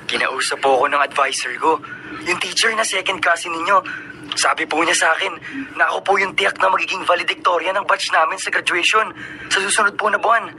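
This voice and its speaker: An earnest, respectful teen student, radio drama, noisy broadcast. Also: male voice, high-pitched, fairly quick, pushed and bright, exaggerated voice. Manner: polite, deferential, often subdued. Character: earnest male high school student, top of his class, hurt by his cold father. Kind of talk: Filipino radio drama acting.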